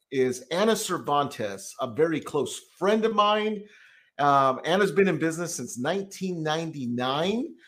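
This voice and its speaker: A man's voice: on the high side, fairly bright tone. A man hosting a casual podcast interview as a tax debt consultant, talking in a rambling way full of fillers and restarts.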